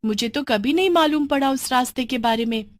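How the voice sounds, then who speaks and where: A woman's voice: high voice, a little fast, no room sound, studio quality, pitched above the performer's own voice, close to an everyday voice. A woman voicing a friendly, innocent schoolgirl who scares easily, in a children's cartoon story. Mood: friendly, surprised.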